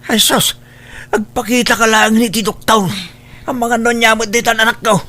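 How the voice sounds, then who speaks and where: A man's voice: very high-pitched, little pitch movement, normal speed, bright, forceful tone, slight background noise, exaggerated voice. An actor playing a poor, fretful elderly father in an Ilocano drama, exclaiming often in an Ilocano Filipino accent. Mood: anxious. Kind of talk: Ilocano radio-style drama acting.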